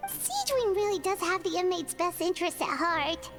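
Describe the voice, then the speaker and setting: A woman's voice: very high-pitched, very animated, moderate pace. A woman hosting a reaction video, making casual, playful comments with teasing asides. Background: game music.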